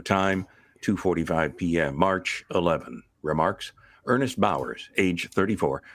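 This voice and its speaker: A man playing a grave, matter-of-fact storyteller, narrating a vintage radio suspense tale in a formal, measured way. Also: deep voice. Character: grave, matter-of-fact male storyteller reading an accident report.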